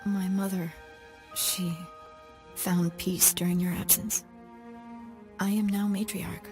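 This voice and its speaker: An actress playing a dignified young woman leader who speaks gently and formally. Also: on the low side, flat delivery, slow. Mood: earnest. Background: game score music.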